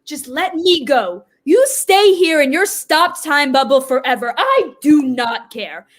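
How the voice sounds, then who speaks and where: An actress playing Death as an indignant, commanding and exasperated figure in a staged play reading. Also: female voice, high-pitched, animated, measured pace. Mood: bitter, defeated. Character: Death personified, exasperated, humiliated, cursing bureaucrat.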